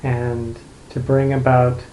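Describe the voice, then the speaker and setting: A man guides a meditation in a mellow, relaxed voice with very little rise and fall. He speaks gently, leaving long pauses between simple instructions. The room adds noticeable echo around his words. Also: medium pitch. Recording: roomy echo, light background noise.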